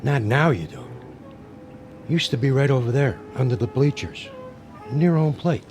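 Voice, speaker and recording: A man's voice: medium pitch, animated, unhurried, natural voice. An American actor playing a nostalgic older working man in a radio drama, telling stories in a folksy, colloquial way. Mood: gruff nostalgia. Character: Corrigan, nostalgic old working man and former ballclub fan. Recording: music bed behind voice.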